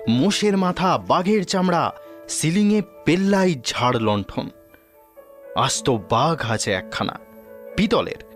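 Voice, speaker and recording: A man narrates a detective story. His voice sits slightly high and moves expressively, with measured pacing over soft music.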